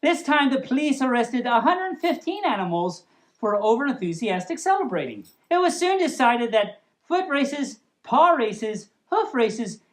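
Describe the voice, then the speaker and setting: A woman reading a story aloud as a storytime host, playful and silly in an expressive way. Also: high-pitched, a little slow.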